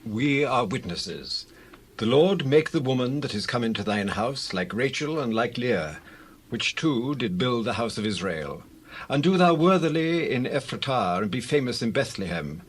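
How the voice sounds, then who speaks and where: An actor playing a solemn, ceremonial town elder in a dramatized Bible reading, with a husky voice. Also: male voice, medium pitch, very animated, normal speed. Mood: solemn blessing. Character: solemn town elder bearing witness and blessing.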